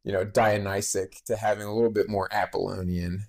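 A man's voice: fairly low pitch, little pitch movement, brisk. A man of thirty-seven, a podcast guest who talks expressively and at length in casual interview chat. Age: 30s. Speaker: podcast guest, bookstore co-owner.

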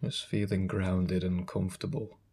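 Low-voiced man guiding a meditation. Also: vocal fry, slightly rough. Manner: calm, unhurried, gentle instructions with long pauses. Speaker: meditation guide. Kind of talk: guided meditation, spoken instruction.

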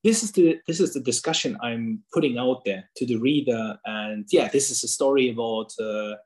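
A man's voice: medium pitch, moderate pace, darkish tone. A man whose first language is German, talking earnestly in a casual interview from his kitchen table, with frequent fillers and restarts. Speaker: author and guest.

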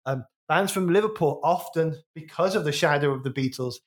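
A man's voice: a little high, brisk. A man from Wolverhampton with a West Midlands accent, co-hosting a casual music podcast in a chatty, rambling way full of restarts. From Wolverhampton, England. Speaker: podcast co-host.

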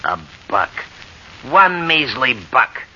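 An actor playing a rough, menacing stick-up man who talks in slangy tough-guy style in an old radio drama. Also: medium pitch, very animated, takes it slowly, pushed and bright, full character voice. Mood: threatening. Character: rough male street stick-up thug with a gun. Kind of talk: 1940s radio drama acting. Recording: noisy, muffled old radio recording.

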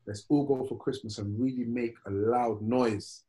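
A man's voice: mid-range voice, steady tone, moderate pace, warm tone. A man chatting on a podcast, circling his point with restarts and repeated words. His voice sits in a middle register. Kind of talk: casual chat.

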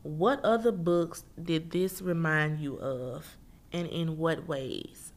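Woman, low voice, casual book review host, chatty and slangy, talks straight to her listeners. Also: slow, slight background noise. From Memphis, Tennessee.

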